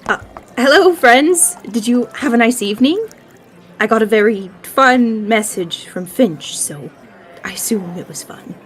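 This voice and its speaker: A woman playing a sheepish, friendly male adventurer in a tabletop game, gentle and hesitant. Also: fairly high pitch, lively intonation, unhurried, faint music behind. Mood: sheepish, polite. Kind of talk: tabletop roleplay.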